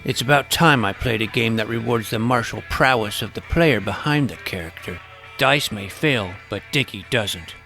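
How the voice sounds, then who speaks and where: A man's voice: lowish voice, moderate pace, gravelly, sharp tone, soft background music. A man narrating a first-person scripted audio drama as its young protagonist, earnest and precise.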